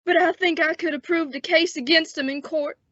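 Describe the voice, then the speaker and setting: A wounded western lawman, played by a young woman, speaks in a high, strained voice. He is resigned and folksy, in a radio play with a dry, dulled sound. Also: fairly even intonation, a little fast, fairly bright tone, no echo. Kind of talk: old-time western radio drama acting. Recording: dull, dry lo-fi radio recording.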